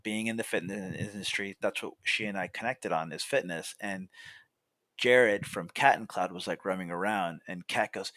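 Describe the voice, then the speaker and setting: A young man, a podcast guest, telling a story in a relaxed way, often filling pauses with "you know" and "like". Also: sits low, no room sound. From California, USA. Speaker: podcast guest, coffee roaster. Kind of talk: casual storytelling.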